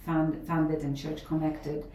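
A woman's voice: deep voice, level tone, takes it slowly, mellow, a little hiss or hum. A middle-aged woman from Poland with a Polish accent, a professor answering interview questions thoughtfully, with many fillers and restarts. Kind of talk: interview conversation. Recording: roomy, a bit distant from the mic, faint ambience.

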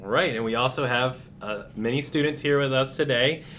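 Upbeat male teacher hosting a school podcast, average pitch, light noise behind. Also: a little fast, a bit bright. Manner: upbeat, welcoming.

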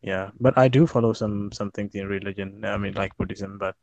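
A young man from Sri Lanka chatting casually, relaxed and hesitant with many fillers and laughs. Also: lowish voice, brisk, gravelly, no echo. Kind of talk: casual chat. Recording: dull lo-fi online call audio with faint noise.

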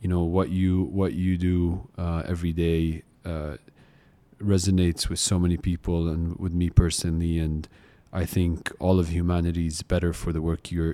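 A Palestinian American man from Akka hosting a podcast interview, speaking in a halting, appreciative manner. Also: low voice, warm tone. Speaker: podcast host.

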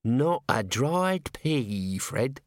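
A man's voice: mid-range voice, sing-song, extremely slow, dry recording, studio quality. A man voicing a shabby, self-pitying corporal of the watch in an audiobook, moaning glumly. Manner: moaning, glum, plaintive. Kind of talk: audiobook character acting.

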